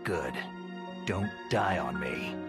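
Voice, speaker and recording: An actor playing a gruff, battle-weary American soldier hero in a video game, gravelly, terse and protective. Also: male voice, deep voice, animated, takes it slowly, heavily acted. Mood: quiet resolve. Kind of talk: dramatic video game cutscene acting. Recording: long reverb, loud music behind.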